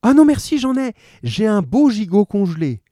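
A man voicing a cheerful, eager housewife in a read-aloud story, in a raised, sweet falsetto. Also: sing-song, moderate pace, mellow, dry recording, studio quality.

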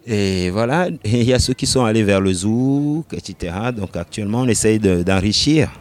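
A man from Benin answering interview questions about his music, unhurried, pausing often and laughing lightly between thoughts. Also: fairly low pitch, a little mellow. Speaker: reggae musician.

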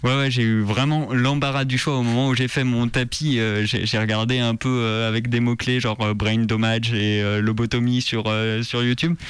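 A man's voice: medium pitch, smooth, no echo. A man with a French accent presenting as a contributor on a radio show. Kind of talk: scripted narration. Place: radio studio.

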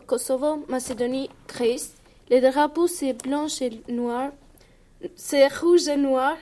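A woman from Albania, an Albanian speaker, giving a halting school presentation in learner French. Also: high voice, mellow.

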